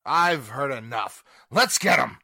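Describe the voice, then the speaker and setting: Man playing a raspy, belligerent big lug. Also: mid-range voice, expressive, a little slow, pushed and bright, no room sound, studio quality, heavily acted. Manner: gruff, challenging. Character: tough, belligerent big lug leading a mob. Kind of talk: 1940s radio drama acting.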